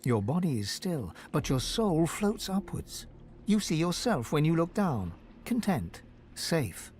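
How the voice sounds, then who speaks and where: A man playing a theatrical, suspenseful storyteller, narrating a dark fantasy game. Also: a little high, very animated, measured pace, slight background noise. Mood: hushed wonder. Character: dramatic male storyteller of a dark fantasy tale. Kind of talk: scripted fantasy game narration, second person.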